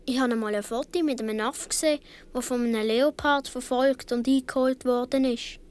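High-voiced Swiss boy, acted by a woman. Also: steady tone, a little fast, darkish tone, clean tone, natural voice. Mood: earnest, tense. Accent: Swiss German. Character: seven or eight year old Swiss boy recalling his adventures. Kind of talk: radio play narration.

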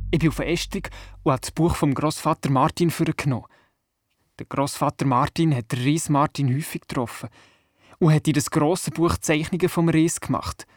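Man playing an eager Swiss boy, high-pitched, over music. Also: lightly acted. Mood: curious, wondering. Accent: Swiss German. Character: curious, eager young grandson, full of imagination. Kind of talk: first-person storytelling in children's radio play.

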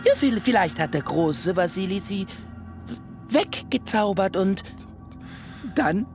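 A man's voice: sits high, animated, slow pace, music in the background, exaggerated voice. A man playing a creepy, nervous circus clown in a radio drama, stammering and giggly. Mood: nervous, evasive.

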